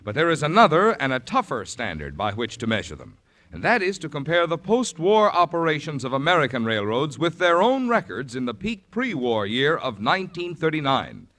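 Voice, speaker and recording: A man, a radio announcer, reading a scripted sponsor announcement in a measured, formal way. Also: on the high side, wildly swooping pitch, a bit bright.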